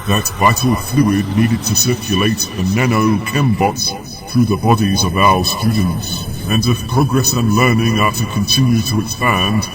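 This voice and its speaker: A man's voice: on the low side, steady tone, measured pace, a crowd in the background, close to an everyday voice, low-quality recording. A man playing a pompous, villainous university chancellor giving an oratorical broadcast address in a science fiction audio drama. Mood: imperious. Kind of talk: formal speech in sci-fi audio drama.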